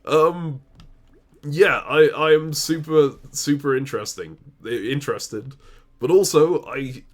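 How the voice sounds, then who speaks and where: A man from the UK with a hybrid British accent and a naturally deep voice, co-hosting a casual Q&A over a remote call, chatty and laughing between answers. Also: slow. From United Kingdom. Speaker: co-host. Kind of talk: casual chat. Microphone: remote call recording.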